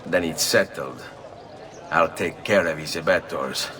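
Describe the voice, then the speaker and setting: An actor playing a calm, determined assassin with an Italian accent and a measured delivery in a video game. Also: male voice, rumbling low, slight background noise, full character voice. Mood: resolute. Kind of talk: video game cutscene acting.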